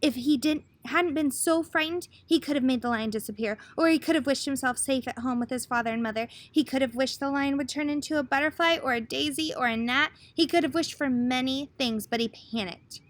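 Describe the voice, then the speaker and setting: Woman storyteller, fairly high voice, expressive picture-book read-aloud for young listeners, dramatic pauses.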